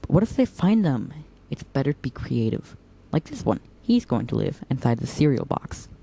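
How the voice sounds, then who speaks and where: A man's voice: medium pitch, big pitch swings, normal speed, vocal fry, warm tone, rough recording. A man playing a whimsical, teasing young operative in an audio drama, talking in a playful, mock-dramatic way.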